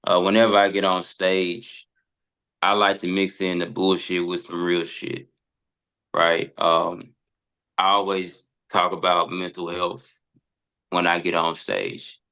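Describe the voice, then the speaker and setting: A comedian guest speaks in a deep, gravelly man's voice with heavy fry. He chats casually and haltingly, often repeating words as he goes. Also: fairly even intonation, pushed and bright. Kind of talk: casual conversational chat.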